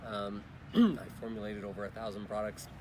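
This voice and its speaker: A hoarse middle-aged man, his voice worn out, explaining things plainly and informally as a company's chief scientific advisor in an interview at a convention. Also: fairly low pitch, flat delivery, moderate pace, background noise. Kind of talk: casual explanatory interview answers. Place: busy convention venue. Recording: loud music playing behind. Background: music.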